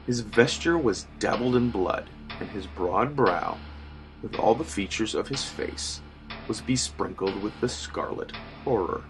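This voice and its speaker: A man giving a dramatic, measured and formal scripted narration of a gothic horror story. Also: lowish voice, animated, rough recording. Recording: music bed under narration. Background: background music.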